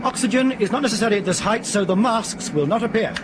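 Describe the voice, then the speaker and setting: An actor playing a commanding British airliner captain in a radio drama, clipped and procedural in an emergency. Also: male voice, high voice, expressive, fast, background noise. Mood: reassuring.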